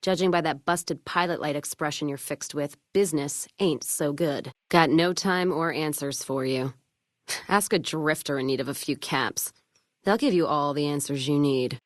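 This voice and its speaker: A woman playing a hard-drinking, sharp-tongued American caravan owner in a post-apocalyptic western game, with blunt, sardonic, folksy profanity. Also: low voice, a little slow, dry recording, studio quality. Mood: prickly, sardonic. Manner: blunt, sardonic, profane frontier drawl with folksy turns of phrase. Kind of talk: video game character dialogue.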